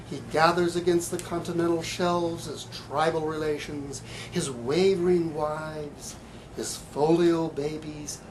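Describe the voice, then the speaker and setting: A man giving a live poetry reading in a bookstore, with halting, hesitant asides and deliberate delivery. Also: fairly high pitch. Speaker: poet. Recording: light background room noise.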